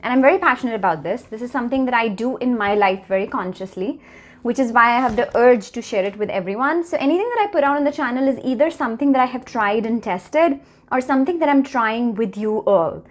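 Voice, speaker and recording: A woman in her thirties from India with an Indian accent, hosting her own channel and talking earnestly and reflectively to the camera. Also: a little high. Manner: earnest, measured, slows to stress key phrases. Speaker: channel host. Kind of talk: reflective personal talk to camera.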